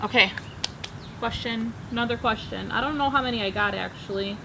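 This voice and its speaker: A woman in her thirties talks in an upbeat, chatty way while she works on her plants, her voice bright and clear. She is recorded outdoors on a backyard patio by a camera across the table, with faint outdoor noise behind her. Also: a little high, fairly quick, rough recording. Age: 30s. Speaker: plant vlogger. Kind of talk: casual chat while repotting plants. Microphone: camera framing from across a table.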